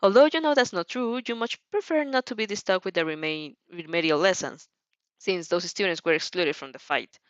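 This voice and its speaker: A woman reading fan fiction aloud as an expressive, theatrical storyteller who now and then stumbles over words. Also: lowish voice, a little fast, dry recording, highs cut off. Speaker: fan fiction reader and host. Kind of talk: expressive storybook narration with character voices.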